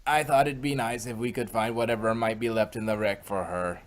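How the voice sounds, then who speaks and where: Man playing pony youngster, lowish voice, casual, kindly, conversational, fan audio drama. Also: fairly even intonation, fairly quick, lightly acted.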